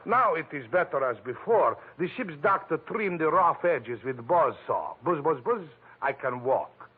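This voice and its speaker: A hulking, aggrieved Russian in an old radio detective play. His husky, highish voice swoops as he labours through broken English. The sound is narrow and vintage, like a phone line. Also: animated, unhurried. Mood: earnest, aggrieved. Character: huge, heavy foreign man in a body cast, complaining. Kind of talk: 1940s radio detective drama acting. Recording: muffled, narrow-band 1940s radio recording.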